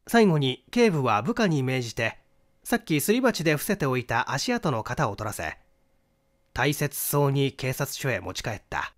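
A man giving a scripted narration of a mystery novel, in a dramatic, deliberate storytelling manner. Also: medium pitch, very animated. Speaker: audiobook narrator, stage actor.

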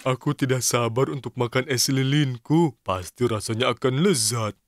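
A man's voice: medium pitch, normal speed, no room sound, lightly acted. An actor voicing an impatient, childlike male cartoon character in an Indonesian children's dub, with an eager, dramatic, exclamatory manner. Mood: excited.

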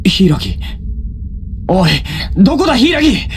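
A cool, gruff teenage boy from an anime game, speaking slowly with lively swings in pitch. He sounds wary and youthful, in a long reverb. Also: heavily acted. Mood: alarmed. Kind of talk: anime video game voice acting. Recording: long reverb, faint background noise.

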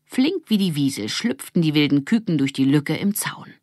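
A woman reading a children's audiobook as an expressive scripted narrator. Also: low voice, moderate pace, no room sound, clean studio sound.

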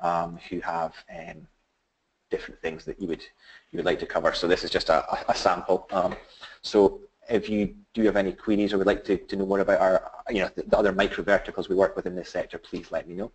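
A middle-aged man with a Scottish accent presenting a business webinar, halting with many fillers and restarts. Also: sits low, flat delivery, breathy edge, rough recording. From Scotland.

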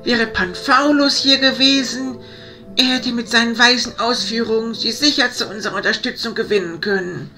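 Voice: mid-range voice, moderate pace, bright, forceful tone. An actor voicing an earnest, courteous fantasy hero in an audio drama, speaking formally. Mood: regretful, musing. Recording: music behind the voice.

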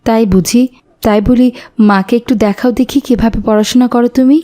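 A woman voicing a caring, gently scolding village mother in an animated cartoon. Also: a little high, normal speed, natural voice. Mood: teasing.

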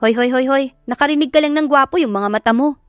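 A woman voicing a feisty young eldest sister in an audio drama, sassy, grumbling and expressive. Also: high-pitched, fast, dry recording, clean studio sound, faint music behind, voice pushed up, lightly acted. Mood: exasperated.

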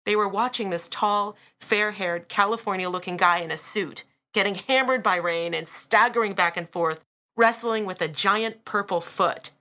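A woman narrating an audiobook in a dramatic, deliberate style with weighted pauses and emphasis. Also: mid-range voice, pushed and bright.

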